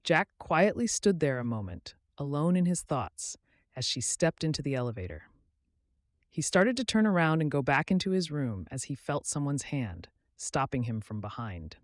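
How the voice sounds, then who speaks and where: A man reading scripted fiction aloud as a story narrator, in an expressive storybook manner. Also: fairly high pitch, normal speed, no echo, studio quality.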